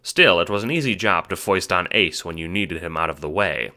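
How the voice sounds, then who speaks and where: A man's voice: low voice, lively intonation, a little fast, sharp tone. A man reading scripted, second-person dramatic narration for an audio drama.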